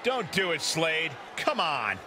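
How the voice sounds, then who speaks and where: A man's voice: a little high, very animated, slow. An actor playing a dismayed male wrestling announcer giving live sports commentary in a game. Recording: loud crowd and arena noise.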